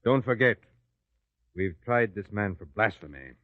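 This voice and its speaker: Cunning, impatient priestly schemer. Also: male voice, expressive, unhurried, no room sound. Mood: scornful, confident. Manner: low, curt, sly, impatient. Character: cunning, commanding male elder plotting a conviction. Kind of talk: biblical radio drama acting. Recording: dull, lo-fi radio drama recording.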